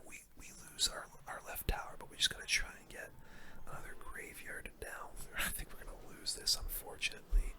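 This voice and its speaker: A gaming host softly whispering relaxed ASMR gameplay commentary into a close microphone.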